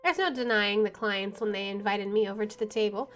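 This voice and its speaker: A woman's voice: medium pitch, a little fast, a bit bright, faint music behind. A woman playing a visual novel, reading its narration aloud and reacting chattily between passages. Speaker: game streamer.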